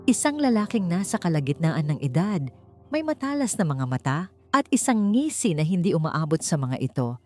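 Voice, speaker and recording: A woman with a Filipino accent narrating a dramatic story as an audiobook, in a measured, suspenseful way. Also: fairly low pitch, very animated, dark tone. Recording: voice over background music.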